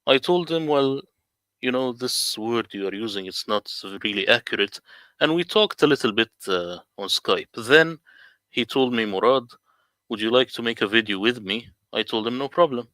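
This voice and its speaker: A man's voice: mid-range voice, lively intonation, normal speed, pushed and bright, no room sound. A man from the Middle East whose first language is Arabic, earnestly telling his story in casual livestream talk. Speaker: guest translator.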